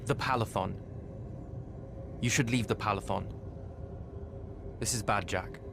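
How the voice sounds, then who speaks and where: A man's voice: fairly low pitch, unhurried, gravelly, background noise. An actor playing a stern, sardonic male battlemage who questions incisively in video game cutscene style. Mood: cold, grave. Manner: incisive, accusing.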